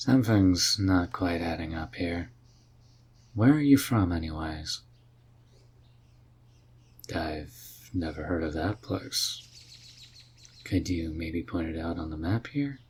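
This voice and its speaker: A man performs an easygoing, curious male mage for a fantasy audio roleplay. The voice is deep and breathy, and the delivery is slow and musing. He carries a dry, gently teasing streak throughout. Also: heavily acted. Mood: puzzled. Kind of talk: roleplay audio drama addressed to the listener.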